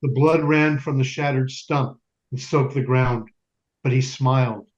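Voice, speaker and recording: A man's voice: mid-range voice, fairly even intonation, measured pace, highs cut off, close to an everyday voice. A man playing a grim resistance fighter, narrating a battle in a solemn, declamatory stage style.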